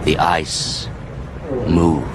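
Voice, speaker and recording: A man narrating a radio drama thriller as a grave storyteller, solemn, measured and portentous. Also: rumbling low, steady tone, background noise, heavily acted. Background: dramatic music.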